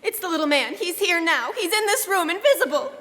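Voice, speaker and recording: A woman's voice: very high-pitched, animated, brisk, bright, forceful tone, heavily acted. A woman playing a detective's emphatic companion in old-time melodramatic radio drama style. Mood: frightened, pleading. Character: anxious, pleading female companion of a detective. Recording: live stage, reverberant church hall.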